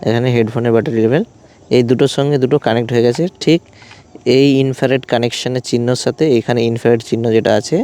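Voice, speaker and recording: Mellow-voiced man explaining step by step. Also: medium pitch. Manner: step-by-step explaining in short phrases. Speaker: vlogger reviewing gear. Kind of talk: hands-on product demonstration.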